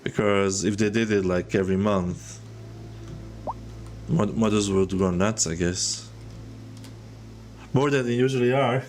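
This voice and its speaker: A man's voice: fairly low pitch, unhurried, long pauses. A man from Serbia, chatting casually while streaming a city-building game and thinking aloud with restarts. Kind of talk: relaxed game livestream chat. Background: soft music.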